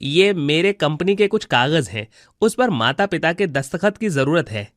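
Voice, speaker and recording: An actor playing a falsely dutiful, scheming adult son in a cartoon moral story, speaking in a placating way. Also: male voice, a little high, sing-song, measured pace, a bit bright, no echo, clean studio sound. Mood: reassuring.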